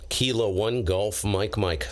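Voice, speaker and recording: A man's voice: lowish voice, slow, a little hiss or hum. A man running a ham radio livestream, mixing casual chat with radio calls. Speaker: amateur radio operator live-streaming a contest. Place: cold basement radio room.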